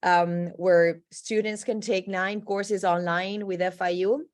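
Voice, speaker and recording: A woman's voice: medium pitch, fairly even intonation, slow pace. A woman hosting a graduate program webinar over a video call, explaining at length with frequent um fillers. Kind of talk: informational presentation on a video call.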